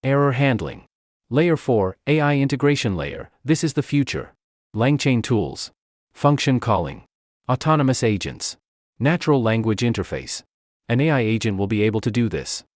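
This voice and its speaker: Man, scripted software tutorial, demo presenter, animated intonation, clipped step-by-step phrasing, dry close sound. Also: medium pitch, moderate pace, no echo.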